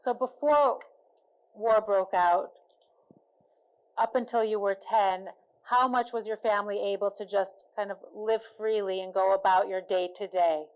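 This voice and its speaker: Casting: woman host, mid-pitched, relays questions from the floor. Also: unhurried, darkish tone, low-quality recording. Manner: repeats audience questions back clearly. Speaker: interviewer, moderator. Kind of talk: moderating audience questions.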